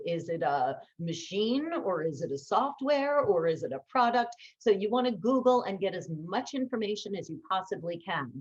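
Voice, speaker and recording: A woman with a slightly low voice gives practical tips like a coach. She speaks conversationally but emphatically, repeating key words and posing questions to the listener. The recording sounds a little dull and lo-fi. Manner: conversational, asks rhetorical questions. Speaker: voice-over coach. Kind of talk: instructional talk with tips.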